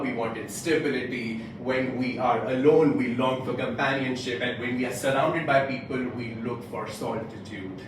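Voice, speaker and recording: A very reverberant, distant recording with some noise carries a young man. He delivers a motivational club speech deliberately, breaking it into short rhetorical phrases. His pitch sits in an ordinary middle range for a man. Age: late twenties. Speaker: club speaker giving a prepared speech. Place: indoor club meeting room, picked up from a distance. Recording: very echoey room, distant mic, background noise.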